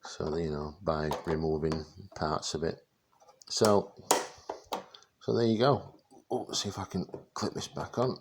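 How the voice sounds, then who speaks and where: A man giving a casual, unscripted product demonstration, speaking haltingly as he searches for words. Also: sits low, steady tone, noisy background. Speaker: presenter showing vintage radio gear.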